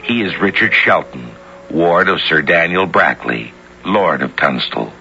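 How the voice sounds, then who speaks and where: Male radio theater host, low measured storyteller, dull vintage broadcast sound. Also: expressive, bright, forceful tone, faint music behind. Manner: measured, storytelling delivery with dramatic emphasis. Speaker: host and narrator of a radio drama series. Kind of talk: 1970s radio drama hosting, scripted narration.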